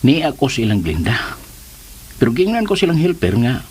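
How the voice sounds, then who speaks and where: Volatile muttering man, wildly swinging pitch. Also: sing-song, normal speed, a little mellow, slightly rough. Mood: grumbling. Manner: muttering to himself, bursting into curses. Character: hot-tempered scheming man, muttering, grumbling and cursing. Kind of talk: radio drama acting.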